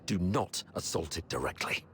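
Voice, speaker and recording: Very deep-voiced old military commander. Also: male voice, lively intonation, normal speed, vocal fry, a bit bright, slight background noise, heavily acted. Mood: grave, resolute. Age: older. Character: weathered old military commander, wry and steadfast. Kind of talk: game voice acting.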